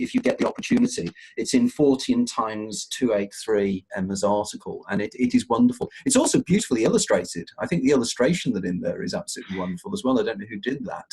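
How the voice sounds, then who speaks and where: A man's voice: medium pitch, creaky, a little mellow, breathy edge, poor audio. A middle-aged man hosting an interview podcast, rambling and hesitant with many filler phrases. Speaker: podcast host.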